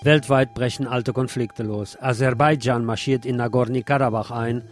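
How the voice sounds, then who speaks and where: A man hosting a radio show, reading a scripted literary essay in a deliberate way. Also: mid-range voice, steady tone. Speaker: radio host. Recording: music behind the voice. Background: music.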